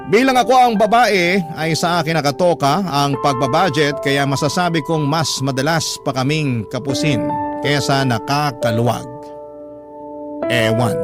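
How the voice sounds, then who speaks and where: A man with a Filipino accent reading a letter as dramatic, scripted radio storytelling. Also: fairly high pitch. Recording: prominent music bed under voice. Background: music.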